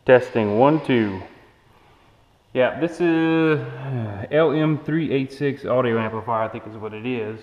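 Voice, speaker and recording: A man's voice: medium pitch, a little slow, long reverb tail, dull audio. A young man casually demonstrating a homemade electronics build at home, explaining loosely and thinking aloud as he goes. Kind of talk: casual demo narration. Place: living room at home, tiled floor. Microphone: action camera on the room.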